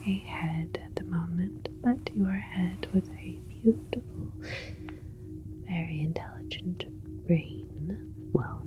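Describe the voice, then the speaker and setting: A woman playing a soothing, clinical alien scientist in an ASMR roleplay, whispering with slow, deliberate, precise wording. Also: lowish voice, low-quality recording.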